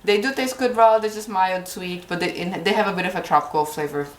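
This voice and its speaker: A woman's voice: mid-range voice, brisk. Brazilian-accented woman in an echoey kitchen. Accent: Brazilian Portuguese. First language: Portuguese. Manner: chatty, gestures while holding up seed packets. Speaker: gardening vlogger. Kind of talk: casual chat to camera. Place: indoor kitchen corner at home.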